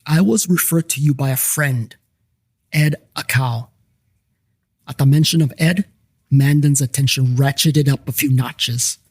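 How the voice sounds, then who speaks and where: A man's voice: mid-range voice, measured pace, breathy edge, no room sound. A man narrating a scripted first-person story as the narrator of a fiction podcast.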